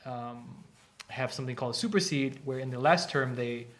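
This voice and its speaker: Brief: male art instructor, mid-pitched, loose and talkative on a casual stream. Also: moderate pace, fairly bright tone. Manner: chatty, explains at length with restarts. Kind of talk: casual live stream chat. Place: art academy studio.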